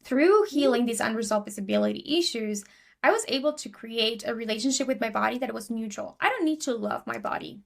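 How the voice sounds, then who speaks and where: Argentinian-accented woman guest, loose chatty delivery, higher pitch. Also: animated, a little fast, gravelly. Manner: chatty, many fillers and restarts. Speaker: podcast guest. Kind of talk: casual interview talk.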